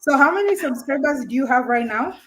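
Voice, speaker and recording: A woman's voice: sits high, expressive, brisk, rough recording. A woman hosting a live stream, chatting casually with a caller and laughing often while she unboxes a camera.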